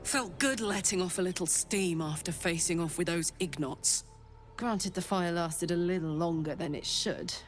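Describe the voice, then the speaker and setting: A woman voicing a brash, warm-hearted tiefling warrior in a video game, with cocky soldierly banter. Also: fairly low pitch, moderate pace, lightly acted. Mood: playful, cocky. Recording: music behind the voice.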